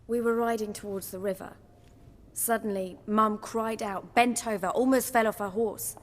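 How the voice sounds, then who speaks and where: A woman's voice: mid-range voice, steady tone, normal speed, big-room sound, natural voice. An actress with a British accent playing a bitter, defiant young woman in a dramatic video game. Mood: shaken, distressed.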